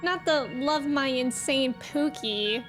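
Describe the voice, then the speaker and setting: Girl streaming a game, high voice, really slow casual reactions, music going behind her. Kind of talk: casual live reaction commentary.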